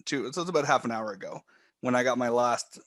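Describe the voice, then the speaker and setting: A man calmly walking viewers step by step through charts and trading software on a live stream. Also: mid-range voice, fast talker, dry recording, studio quality. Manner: calm, explanatory, step-by-step. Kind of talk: live screen-share trading walkthrough.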